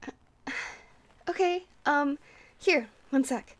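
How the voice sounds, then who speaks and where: A woman's voice: sits high, takes it slowly. A woman playing a shy, friendly vampire who rambles hesitantly and flirts sweetly in an ASMR roleplay. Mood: shy, warm. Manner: hesitant, rambling, soft-hearted, awkwardly stammering.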